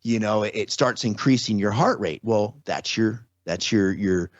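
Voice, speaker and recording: A man's voice: on the low side, a little slow, no echo, lo-fi sound. A man talking casually as an interview guest, explaining things with repeated, restarted phrases and frequent rhetorical check-ins. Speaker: podcast guest, trauma survivor and former security contractor.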